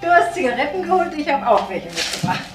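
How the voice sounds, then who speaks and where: A motherly woman fussing over young men in a crime radio play. Her voice is very high and animated. It sounds roomy, with clear music behind it. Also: quick pace, far from the mic. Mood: caring, fussing. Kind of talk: German crime radio drama acting.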